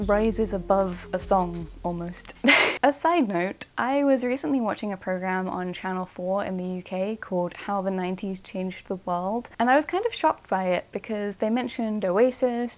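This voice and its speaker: A young woman, talking casually and warmly to camera about her records from her bedroom. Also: mid-range voice. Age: young adult. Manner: enthusiastic, thoughtful, slightly chatty. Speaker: vlogger reviewing records. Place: bedroom, indoors.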